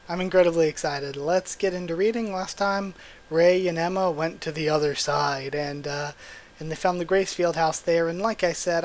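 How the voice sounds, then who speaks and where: A hoarse young man with a cracking voice, hosting a casual manga readthrough and chatting excitedly as he goes. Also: on the high side. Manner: chatty, excitable, thinks out loud. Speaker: channel host reading manga aloud. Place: small room at home. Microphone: gaming headset mic.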